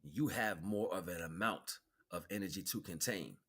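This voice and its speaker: Guy with a lowish voice doing a spiritual livestream talk, slangy, emphatic, says things twice. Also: moderate pace, fairly bright tone. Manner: emphatic, repeats phrases for emphasis; colloquial, checks in with rhetorical questions. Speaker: spiritual teacher, livestream host. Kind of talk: improvised spiritual lecture, streamed.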